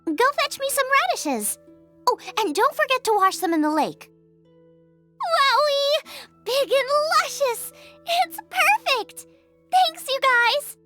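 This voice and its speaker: A woman voicing an excitable, cheerful and bossy girl chef in an animated video game, perky and emphatic. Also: extremely high pitch, slow, faint music behind, exaggerated voice. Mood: excited, upbeat.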